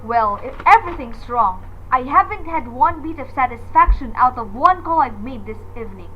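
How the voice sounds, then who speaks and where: Bedridden wife played by a woman, radio suspense: high, anxious, demanding, melodramatic; slightly noisy lo-fi recording. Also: lively intonation, normal speed. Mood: indignant. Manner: fretful, breathless, melodramatic exclamations. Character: nervous, demanding bedridden wife, frightened and imperious. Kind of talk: old-time radio suspense acting. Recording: dull lo-fi audio with hiss.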